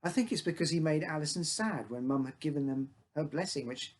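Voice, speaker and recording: A man's voice: on the high side, a little fast. A man with a British accent reading his own scripted short story, narrating in the first person as a child.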